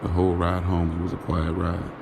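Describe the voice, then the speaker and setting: Man playing a very low, gravelly storyteller. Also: monotone, brisk, noisy background. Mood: earnest, reflective. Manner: informal, slangy, acting out dialogue. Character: Jalen, smitten male driver recounting his night candidly. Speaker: narrator and lead character of an audio drama. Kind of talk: conversational first-person storytelling in audio drama.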